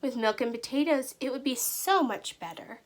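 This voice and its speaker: A woman voicing a sly, friendly female storybook traveler in a coaxing, sing-song, expressive way. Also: sits high, moderate pace, natural voice. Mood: coaxing, bright. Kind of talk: storybook character voice in a read-aloud.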